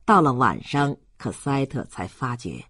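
Male narrator for a literary audiobook, husky tone, close dry sound, expressive scripted reading. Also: medium pitch, measured pace, dry recording.

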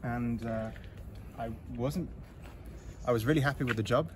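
A man, talking in a relaxed, halting way with many fillers during a casual interview in an outdoor community garden. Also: mid-range voice. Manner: easygoing, hesitant with many fillers. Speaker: interview guest, volunteer. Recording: outdoor noise with passing traffic.

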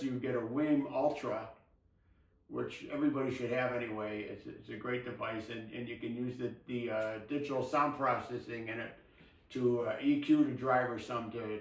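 Older man, halting casual explainer, technical co-host, roomy echo, distant mic. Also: mid-range voice, fairly even intonation. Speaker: co-host of an audio hobby show. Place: indoor room with table and blinds.

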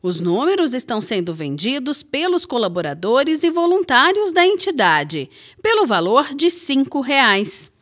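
A woman's voice: sits high, very animated, measured pace, a bit bright. A woman with a Brazilian Portuguese accent, reading a local TV news report as voice-over narration.

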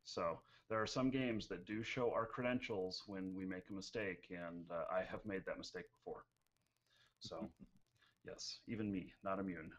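A man's voice: sits low, fairly even intonation, moderate pace, a bit bright. A man in his forties from the United States, hosting a live-streamed Q&A in a chatty, joking way with many fillers, on a headset mic at home. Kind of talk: casual live-stream chat. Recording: dull lo-fi stream audio.

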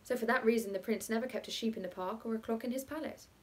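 A coolly inventive bachelor as read by a woman spinning a tale for children. The delivery is matter-of-fact and deliberate, in a fairly high voice, as in a storybook reading. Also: a little mellow, natural voice. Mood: unconcerned.

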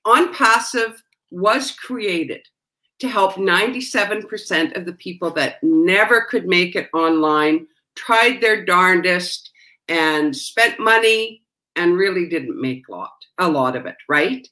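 A woman's voice: on the low side, animated, takes it slowly, pushed and bright. An older woman hosting an interview over a video call, chatty and upbeat. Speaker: host. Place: home room, indoors. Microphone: webcam on video call.

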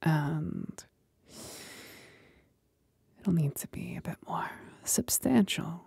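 Possessive demon companion played by a woman, ASMR roleplay: very slow, dark breathy whisper, seductive and commanding. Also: low-pitched, expressive, dry recording, studio quality, full character voice. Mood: coaxing, commanding. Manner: slow, deliberate, soothing yet domineering.

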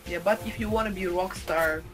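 A 21-year-old woman from Uzbekistan, chatting on a video call, animated and expressive. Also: fairly low pitch, fast, faint music behind. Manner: animated and expressive, exclaims and laughs often. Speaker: video chat user. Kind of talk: casual video chat with strangers. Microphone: webcam video call.

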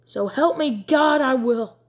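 A woman voicing a hardened, stubborn backwoods father who talks in rough country speech. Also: medium pitch, fairly even intonation, normal speed, a little mellow, close to an everyday voice. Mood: furious. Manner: plain rough country talk.

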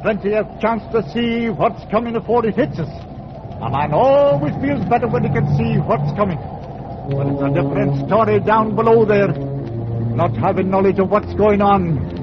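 Male ship's captain, stoic and matter-of-fact, high raised voice, 1940s radio drama under loud storm noise. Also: expressive, normal speed, dull audio. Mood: calm, grave.

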